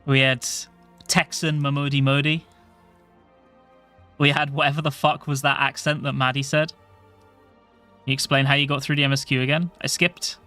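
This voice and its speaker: A man streaming a game, chatting casually to his viewers in a soft voice, rambling and swearing offhandedly. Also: mid-range voice, level tone, normal speed, long pauses, faint music behind. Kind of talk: casual livestream chat.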